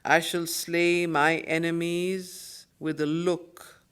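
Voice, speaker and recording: A woman with an Indian English accent facilitating a study circle, reciting poetry and commenting on it in a deliberate, emphatic manner. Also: lowish voice, flat delivery, clear, resonant tone. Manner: deliberate, emphatic, repeats phrases.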